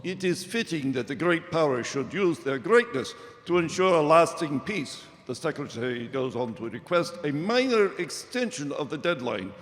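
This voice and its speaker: In a reverberant hall, an actor plays a solemn male 1940s newsreader. His slightly high voice delivers formal announcements in radio drama style. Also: lightly acted. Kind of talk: 1940s radio newscast acting. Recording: live stage recording in echoey hall.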